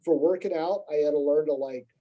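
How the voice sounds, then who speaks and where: A man's voice: on the high side, fairly quick, muffled recording. A man speaking as a podcast guest, telling long rambling stories in a casual, self-deprecating way with many fillers and restarts. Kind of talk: casual conversational storytelling.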